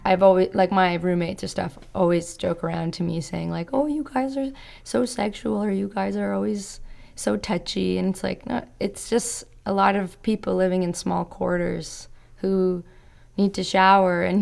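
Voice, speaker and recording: A young woman with heavy vocal fry. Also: medium pitch, fairly even intonation, moderate pace, a little mellow. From Canada. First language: Serbo-Croatian. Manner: reflective, frequent "like" and "you know". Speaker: podcast guest. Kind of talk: casual interview chat.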